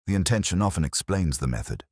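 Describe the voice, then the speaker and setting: A man playing a deep, mild, patient inspector. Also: sing-song, dry recording. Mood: mildly wry. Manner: measured, dry, formal understatement. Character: mild, patient, shrewd male police inspector.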